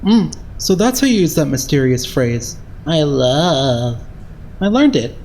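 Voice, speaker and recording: A woman's voice: low-pitched, big pitch swings, unhurried, a little mellow, slight background noise, exaggerated voice. A woman playing a cool, curious, practical young idol in an anime game fan dub, matter-of-fact and thoughtful.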